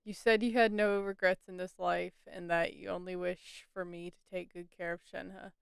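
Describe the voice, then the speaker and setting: A woman's voice: on the low side, level tone, normal speed, gravelly, clear, resonant tone, no room sound, studio quality. A woman game streamer giving casual, wry commentary and reading text aloud.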